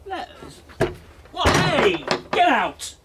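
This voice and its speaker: An actor playing a flustered, indignant man in a radio drama, spluttering and agitated, with a husky voice. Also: very high voice, very animated, extremely slow, very noisy, heavily acted. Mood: alarmed, indignant. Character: flustered, indignant blackmailer confronted at his door.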